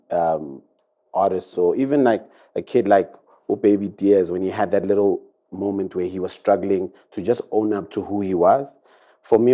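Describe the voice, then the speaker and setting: A man's voice: low voice, warm tone. A man, a recording artist, reflecting in a rambling casual chat full of fillers. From South Africa.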